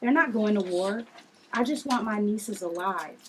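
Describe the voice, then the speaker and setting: A woman's voice: mid-range voice, expressive, unhurried, a little hiss or hum, natural voice. A woman at a table read playing a fierce, protective aunt who readily confronts and threatens. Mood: angry.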